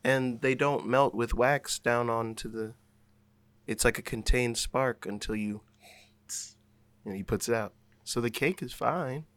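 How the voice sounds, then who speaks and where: A man narrating a tabletop game as game master, casual and laid-back. His voice sits in a middle pitch, recorded dry and close with no room sound. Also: measured pace, pauses often, a bit bright, no echo.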